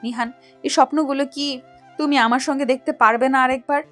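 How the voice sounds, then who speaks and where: A woman's voice: high-pitched, steady tone, brisk, a bit bright, soft background music. A woman playing a remorseful, devoted young lover, pleading earnestly in a romantic audio story. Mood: tearful devotion.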